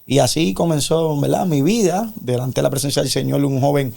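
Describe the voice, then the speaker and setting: A man's voice: fairly high pitch, steady tone, fairly quick, gravelly, a little mellow. A man giving a personal religious testimony as an interview guest, earnest and a little preacherly.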